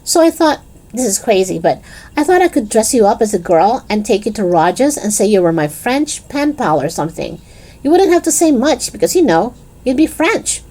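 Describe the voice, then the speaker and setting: A woman's voice: a little high, sing-song, normal speed, mellow, pitched above the performer's own voice, rough recording. A woman voicing an eager, confident, playful schoolgirl in a storybook, with a coaxing, enthusiastic manner.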